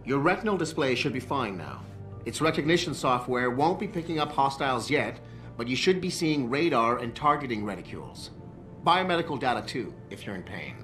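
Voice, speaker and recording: A prickly male tech expert from a video game. His speech swings animatedly in pitch, full of snide condescension and sarcasm. Music plays clearly behind him. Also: very animated, fairly quick, fairly bright tone. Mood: clinical. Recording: background music under the voice.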